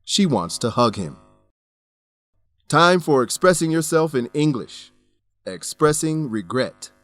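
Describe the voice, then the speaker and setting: A man reads scripted educational narration at a very measured, slow pace. His pitch moves expressively as he explains things in a didactic, deliberate way. The sound is close and dry. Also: very animated, no room sound, soft background music. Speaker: narrator and English teacher.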